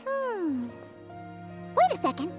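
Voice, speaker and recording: A woman voicing a small, bossy fairy companion with a squeaky, childlike, chirpy voice in a video game. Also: huge pitch swings, slow pace, darkish tone, faint music behind, exaggerated voice. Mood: worried.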